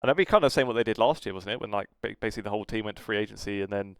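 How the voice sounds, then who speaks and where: A man's voice: lowish voice, rapid-fire, gravelly, clean tone, dry recording, studio quality. A man with a British accent co-hosting a casual esports podcast, talking in a rambling, hesitant way that trails off. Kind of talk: casual esports news discussion.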